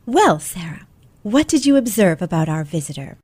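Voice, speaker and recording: A woman's voice: fairly low pitch, very animated, normal speed, background noise. A woman playing a confident, shrewd teenage girl detective in an old-fashioned radio play, speaking formally and theatrically.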